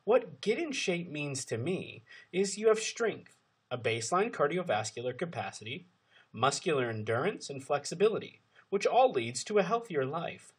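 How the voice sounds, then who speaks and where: A man reading scripted instructional narration as a narrator, with emphatic, deliberate phrasing. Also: on the high side, very animated, slightly rough.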